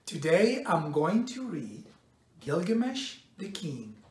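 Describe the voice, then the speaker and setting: A middle-aged man reads a picture book aloud in a measured, expressive storytelling way, pausing between phrases. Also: sits high. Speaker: storybook reader. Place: indoors in a bright home room by a window. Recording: roomy echo, distant microphone.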